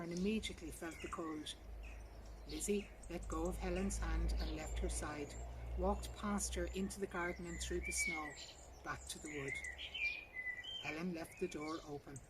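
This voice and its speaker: Low-voiced woman reading fiction, birdsong behind. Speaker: reader. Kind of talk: literary short story narration. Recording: distant mic, clear birdsong and outdoor noise.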